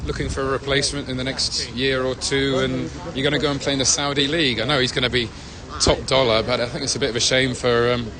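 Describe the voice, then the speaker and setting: A man's voice: mid-range voice, flat delivery, quick pace, few pauses. A man, a football fan, chatting in a friendly, rambling way about the match outside the stadium. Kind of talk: casual post-match chat. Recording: crowd noise outdoors. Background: crowd of fans.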